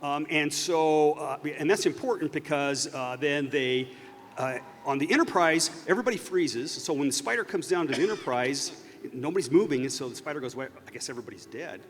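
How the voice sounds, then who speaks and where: A man telling a story off the cuff as a panelist at a live fan panel, speaking in a halting, thoughtful way. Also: fairly high pitch, animated. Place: large convention stage. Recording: echoey hall PA with faint noise.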